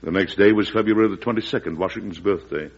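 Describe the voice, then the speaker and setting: Low, gravelly male radio narrator. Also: quick pace. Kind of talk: scripted radio drama narration. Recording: dull old radio broadcast.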